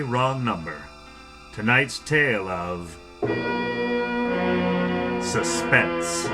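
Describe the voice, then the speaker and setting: Man, slow pace, formal vintage radio announcing, deliberate dramatic phrasing, music clearly behind. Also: medium pitch. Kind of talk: formal old-time radio closing narration.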